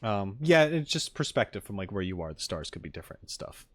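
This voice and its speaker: A man's voice: on the low side, sing-song, a little fast, a bit bright, dry recording. A man giving casual, off-the-cuff streamer commentary, musing and thinking aloud as he goes. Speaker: streamer reacting to game trailers and voice lines.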